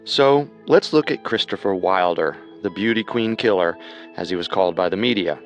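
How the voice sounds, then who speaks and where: Male true-crime co-host, lowish pitch, serious and measured scripted read over faint music. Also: animated.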